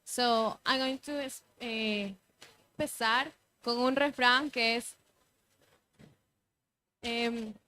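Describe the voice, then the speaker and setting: Bright, high-voiced woman from Ecuador. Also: steady tone, takes it slowly, pauses often, a little hiss or hum. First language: Quichua. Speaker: youth activist speaker. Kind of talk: panel statement.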